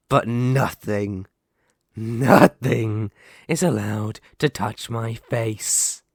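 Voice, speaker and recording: A man's voice: mid-range voice, dry recording, clean studio sound. A man playing a sadistic, predatory lamia in an ASMR horror roleplay, taunting and menacing. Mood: vengeful, seething. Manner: taunting, menacing, slow deliberate delivery with gloating lilts. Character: sadistic, predatory male lamia, half-snake monster toying with prey.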